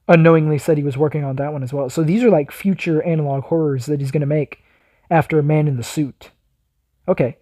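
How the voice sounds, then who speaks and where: Male reaction host, slightly higher-pitched and husky, speaking in casual commentary. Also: warm tone, no echo, clean studio sound.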